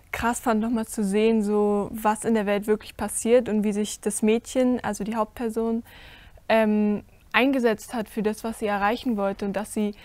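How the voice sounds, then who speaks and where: A teenage girl speaks earnestly on a studio panel, searching for words with many fillers. Her voice is fairly high yet flat in melody. The sound is dry and close. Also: dry recording. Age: teen. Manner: earnest, filler-heavy, thoughtful. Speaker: youth panelist. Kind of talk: panel discussion. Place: indoor studio set with curtains.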